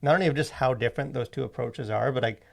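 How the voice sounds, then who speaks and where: A man talking as a podcast co-host in a casual, halting, rambling way full of verbal fillers. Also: mid-range voice, gravelly. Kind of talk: casual conversational podcast discussion.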